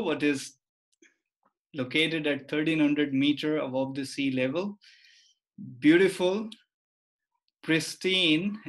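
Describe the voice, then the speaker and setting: Male guest expert, forties, Nepali accent, measured explanatory delivery over a video call. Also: on the high side. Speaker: guest, tour company director and lecturer. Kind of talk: explanatory interview talk. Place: office room, video call.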